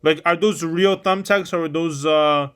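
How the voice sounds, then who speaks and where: A man's voice: fairly high pitch, fairly even intonation, a little slow, fairly bright tone, smooth, soft background music. A man hosting a podcast, interviewing a guest with hesitant, restarting questions. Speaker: podcast host.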